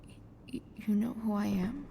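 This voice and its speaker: A woman playing a rebellious, grieving teenage girl in a game, speaking haltingly and earnestly, often hushed. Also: mid-range voice, gravelly, long reverb tail, a little hiss or hum, natural voice. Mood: hesitant, concerned. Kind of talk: naturalistic dramatic game acting.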